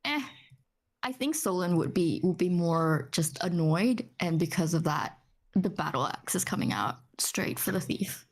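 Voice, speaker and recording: A woman's voice: fairly low pitch, animated, unhurried. A woman chatting casually as a player in a tabletop role-playing game, hesitant and thinking aloud.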